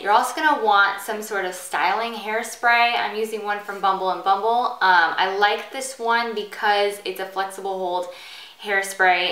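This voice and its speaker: A woman's voice: mid-range voice, sharp tone, reverberant. A woman hosting a casual step-by-step hairstyling tutorial, explaining in an instructional way.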